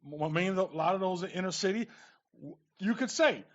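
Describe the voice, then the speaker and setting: A man with a distinctly high voice hosts a political talk show. His delivery is casual and halting, often doubling back on words. The audio has a muffled, radio-stream sound. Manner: halting, repeats words while forming thoughts.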